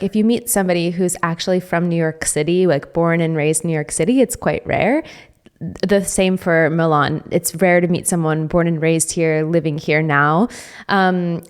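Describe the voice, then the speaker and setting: A woman's voice: on the low side, clear, resonant tone. An American woman hosting a podcast, chatting casually with her guest and laughing often. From United States. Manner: laughs often, friendly and talkative. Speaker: podcast host. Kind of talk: casual interview chat.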